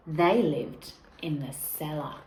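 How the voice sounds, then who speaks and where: A woman reading a children's picture book aloud like a teacher, expressively and deliberately, with emphasis on key words and asides to young listeners. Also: low voice, a little mellow. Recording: echoey room with faint background noise.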